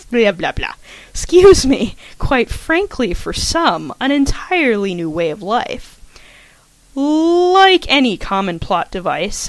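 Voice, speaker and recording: A woman's voice: fairly high pitch, no echo. A young adult woman reading her own casual commentary as an author, chatty and self-deprecating, now and then stumbling over her words. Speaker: fan fiction author. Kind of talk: casual scripted personal commentary, read aloud.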